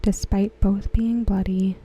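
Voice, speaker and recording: A woman softly reading aloud for ASMR in a breathy near-whisper, gentle and unhurried. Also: medium pitch, flat delivery, poor audio. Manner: hushed, gentle, unhurried delivery. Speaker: ASMR creator. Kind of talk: soft-spoken ASMR read-aloud of written comments.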